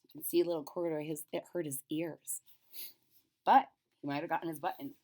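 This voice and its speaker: A woman storyteller doing an expressive children's read-aloud, explaining things simply as she goes. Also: low voice, a little fast.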